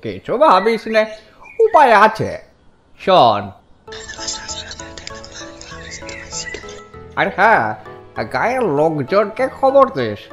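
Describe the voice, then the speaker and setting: Wildly animated cartoon village friend. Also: male voice, high-pitched, a little slow, soft background music, exaggerated voice. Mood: conspiratorial. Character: concerned, scheming village friend of the farmer. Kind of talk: cartoon fairy-tale acting.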